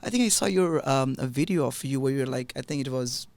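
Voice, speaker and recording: Gravelly man hosting a podcast. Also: medium pitch, animated, fairly quick, a little mellow, no echo. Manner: teasing, chatty, with many fillers. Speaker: podcast host. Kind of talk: casual interview chat mixing languages.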